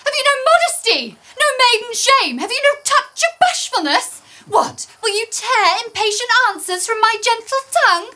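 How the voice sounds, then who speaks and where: A woman's voice: extremely high pitch, sing-song, moderate pace, pushed and bright, full character voice. An actress playing a wounded, indignant young woman in a theatrical Shakespearean radio play, with declamatory, dramatic delivery. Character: Helena, wounded indignant young woman, easily frightened lover.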